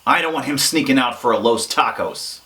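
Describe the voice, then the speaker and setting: A man playing a gruff, stubborn police negotiator boss with a curt, dismissive manner in a comedy sketch. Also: medium pitch, expressive, moderate pace, bright, forceful tone.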